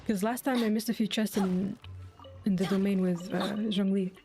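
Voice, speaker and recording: Young lady streaming a game, medium pitch, chatty, thinks out loud, light music behind. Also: fairly even intonation, normal speed. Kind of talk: casual gameplay commentary.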